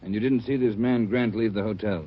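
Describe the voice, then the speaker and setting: A man acts a no-nonsense police chief on a muffled old radio crime show. He talks fast in a lowish voice, with authority and urgency, like one used to giving orders. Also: steady tone, lightly acted. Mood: businesslike. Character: brisk, commanding male police chief. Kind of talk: old-time radio drama acting. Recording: dull, lo-fi old radio recording.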